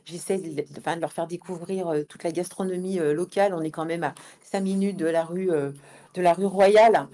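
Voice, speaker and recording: A woman being interviewed as a guest, speaking conversationally and haltingly, often repeating words as she builds her answers. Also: on the low side, steady tone, mellow. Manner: hesitant, halting delivery with many repeated words. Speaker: interview guest, founder and manager of an event venue.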